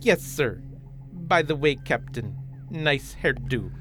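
A man playing a literal-minded, dutiful android starship officer in a comedic radio parody, with a formal, deadpan delivery. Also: mid-range voice, slow, music in the background.

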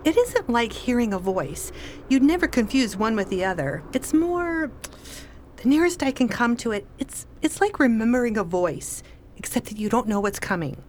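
An actress playing a wry, weary, irritable pregnant wife with a dry manner in a radio play. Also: female voice, on the high side, very animated, normal speed, a little mellow, a little hiss or hum. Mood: testy.

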